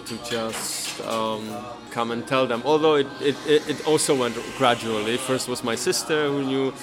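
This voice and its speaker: A man speaks in an even, level tone, pausing with fillers as he answers personal questions. Clear music plays behind him. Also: mid-range voice. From Czech Republic. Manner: hesitant, thoughtful, many fillers. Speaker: interviewee, activist. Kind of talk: personal interview.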